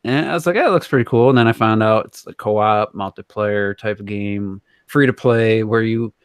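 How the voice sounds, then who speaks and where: A man's voice: lowish voice, moderate pace, clear, resonant tone, no room sound, studio quality. A man hosting a casual gaming podcast discussion, talking informally. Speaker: podcast host.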